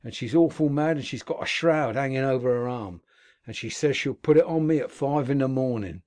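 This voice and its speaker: A man's voice: medium pitch, brisk, a little mellow, natural voice. A man voicing a dying, terrified man who raves and pleads in panic. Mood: frantic terror. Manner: frantic, raving, pleading.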